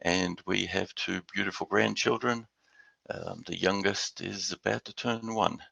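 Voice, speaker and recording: An older man with an Australian accent giving a webinar presentation, halting and repeating words as he goes. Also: low-pitched, fairly even intonation, gravelly, bright, forceful tone, no room sound. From Western Australia. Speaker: guest speaker.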